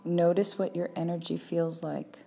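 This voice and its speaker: A woman leading a guided meditation as a teacher, speaking calmly and without hurry, leaving long pauses. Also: lowish voice, vocal fry, soft background music. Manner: calm, unhurried, with long pauses.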